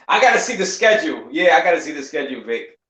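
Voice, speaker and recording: A man's voice: a little high, very animated, fairly quick, bright, forceful tone. Laid-back man chatting in an echoey room. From United States. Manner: laid-back, laughing, repeats himself. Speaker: YouTuber guest on a live stream. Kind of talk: casual live-stream chat.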